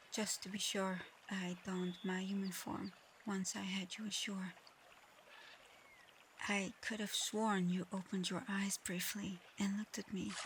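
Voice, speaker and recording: A woman voicing a proud but conflicted dragon girl in human form for an ASMR audio roleplay, soft-spoken, hesitant and earnest. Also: medium pitch, a little hiss or hum, close to an everyday voice. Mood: wistful.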